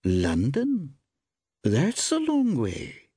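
A man voicing a cool, inquisitive village shopwoman with a slow, deliberate delivery, in an audiobook story. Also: fairly high pitch, big pitch swings, no room sound, studio quality, pitched above the performer's own voice, heavily acted. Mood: slightly warmer, curious.

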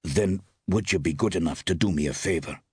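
Man playing an earnest young man, husky and insistent. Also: sits low, a little fast, a little mellow, no room sound, studio quality. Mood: eager. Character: earnest, urgent young man with a secret errand.

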